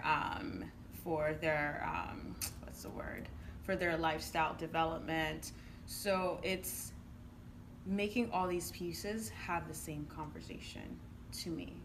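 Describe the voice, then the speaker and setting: A woman from Uganda giving conversational interview answers in an earnest, explanatory manner, often checking in with "right?". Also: low-pitched. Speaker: interviewed entrepreneur and youth programme coach. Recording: clearly noisy room ambience.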